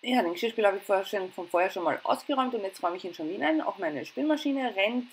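A woman's voice: medium pitch, expressive, rapid-fire, low-quality recording. A middle-aged woman with an Austrian accent narrating her household routine in a chatty, informal, self-deprecating way. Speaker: home and cooking vlogger. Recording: clear background noise.

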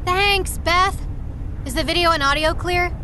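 High-voiced tough demon hunter. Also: female voice, measured pace, sharp tone, full character voice. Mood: brisk showmanship. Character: tough, battle-worn young female demon-hunting streamer. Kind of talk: audio drama acting. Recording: clear noise behind voice.

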